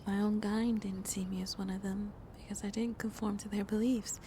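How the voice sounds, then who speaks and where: A woman playing a shy, lonely vampire in an intimate ASMR roleplay, speaking softly and hesitantly, often half whispering. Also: medium pitch, level tone, a little hiss or hum, lightly acted. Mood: wistful, vulnerable. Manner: slow, hesitant, halting, soft and breathy. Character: shy, lonely female vampire on a tender first date.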